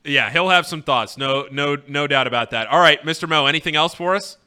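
A man's voice: on the high side, brisk, bright, forceful tone, clean tone. A man hosting a radio show in a casual, bantering sports-talk style.

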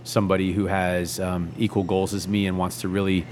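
A man in his thirties, a chef, talking in a relaxed, easygoing way as an interview guest, with many fillers and restarts. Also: low voice, brisk, a little hiss or hum. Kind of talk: casual reflective interview.

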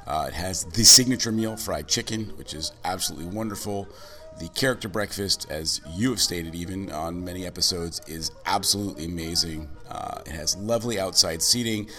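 A male podcast host with a very deep yet bright, punchy voice, scratchy and hoarse from a cold. He chats eagerly, leaning on fillers and restarts. Faint music plays underneath. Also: fairly quick. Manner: chatty, enthusiastic, many fillers. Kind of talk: casual chat.

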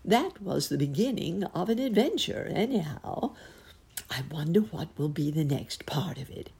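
Shy polite old bunny uncle, lady doing him, storybook, really raspy breathy, kinda hesitant. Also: fairly low pitch, very animated, moderate pace, dark tone, voice pushed up, full character voice. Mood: musing. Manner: courteous, old-fashioned, hesitant phrasing. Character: modest, shy elderly gentleman rabbit, polite and bewildered.

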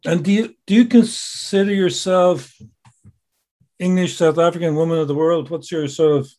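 A man with a slightly high voice interviews his guest. He talks loosely and haltingly, restarting sentences and laughing along. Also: steady tone, dark tone. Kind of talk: casual interview chat.

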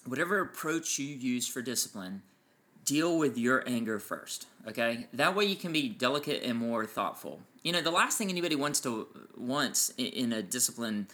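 Man, loud voice, enthusiastic podcast co-host, bright tone, lively pitch. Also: normal speed. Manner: enthusiastic, explains in numbered points. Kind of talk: casual chat. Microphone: farther from mic than co-host.

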